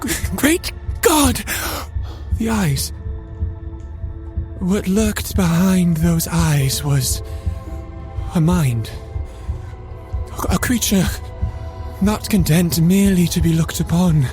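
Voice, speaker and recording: Tormented scientist: fairly high voice, slow grandiose melodrama, haunted confessional monologue for gothic audio drama. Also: male voice, animated, a little mellow, soft background music, full character voice. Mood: horrified awe. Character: tormented male scientist recounting his own horror.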